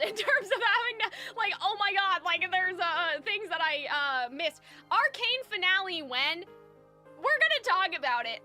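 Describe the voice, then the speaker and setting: High-pitched, bright woman livestreamer. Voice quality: high-pitched when excited. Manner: rambling, chatty, giggly, slangy. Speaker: live streamer, host. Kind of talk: casual livestream chat with viewers. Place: soundproofed room at home. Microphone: close microphone. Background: faint music.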